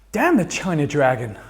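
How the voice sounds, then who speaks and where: An actor playing an easily exasperated slacker rock musician in a sitcom, with a wry, conversational delivery. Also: male voice, on the high side, slight background noise, heavily acted. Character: exasperated sitcom slacker rocker who works in a music store.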